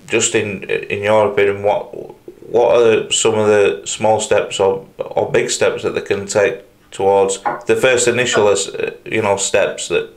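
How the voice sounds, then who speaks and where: A young man hosting an interview on a video call, asking measured, supportive questions. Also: fairly low pitch, low-quality recording. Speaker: host.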